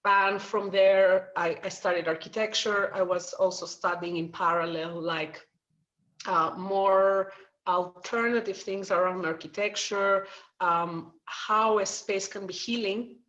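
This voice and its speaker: A middle-aged woman from Greece answers an interviewer's question, explaining her ideas at length. She speaks thoughtfully, pausing with fillers and restarts as she works through each point. Her voice sits at an ordinary pitch for a woman. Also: fairly even intonation, fairly bright tone, highs cut off. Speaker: interview guest.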